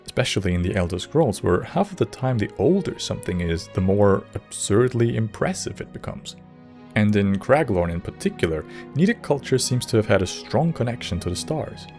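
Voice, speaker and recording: Low-voiced man narrating a lore video. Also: big pitch swings, normal speed, a little mellow. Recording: music bed under narration. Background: background music.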